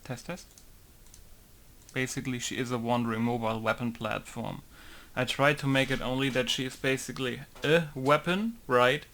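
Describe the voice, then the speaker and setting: Gravelly modder muttering to himself. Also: male voice, mid-range voice, steady tone, normal speed. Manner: rambling, talks to himself, mutters quiet mic tests. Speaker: game modder. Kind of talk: casual talk while working.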